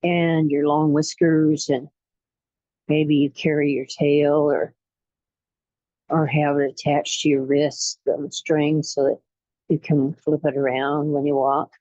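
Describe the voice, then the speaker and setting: Slow, mellow woman guiding relaxation. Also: deep voice, level tone, no room sound, lo-fi sound. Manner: soothing, unhurried, pausing between short phrases. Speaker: guided imagery facilitator. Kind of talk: guided relaxation meditation.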